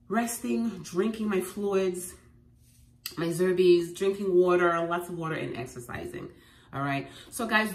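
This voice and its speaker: A woman with a head cold, sounding congested, sharing home remedy tips in an animated, emphatic vlog at home. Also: lowish voice. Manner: animated and emphatic, repeating phrases. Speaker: lifestyle vlogger. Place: at home indoors. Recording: echoey room, faint noise.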